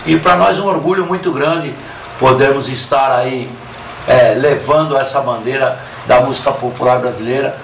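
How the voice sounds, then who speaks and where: A man's voice: medium pitch, normal speed, creaky, slight background noise. A man from São Paulo, proudly speaking about his vocal group in a documentary interview and leaning on "aí" as a filler. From São Paulo, Brazil. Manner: proud, steady, leans on filler "aí". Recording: echoey room, mic from a distance.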